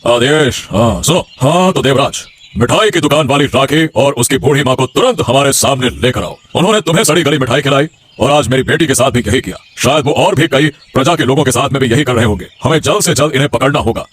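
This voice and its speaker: A man's voice: mid-range voice, fast, hardly any pauses, natural voice. A man voicing an authoritative, fatherly king in a cartoon moral story, with a commanding manner. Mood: stern.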